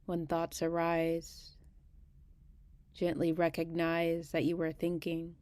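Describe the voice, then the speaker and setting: A woman guiding a meditation in a gentle, unhurried manner, leaving long pauses between short phrases. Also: deep voice, completely flat delivery. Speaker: meditation guide. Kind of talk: guided body scan meditation.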